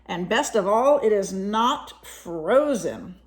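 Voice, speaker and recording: A woman voicing a wishful male polar bear from a children's storybook, with expressive, drawn-out delivery. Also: medium pitch. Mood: delighted.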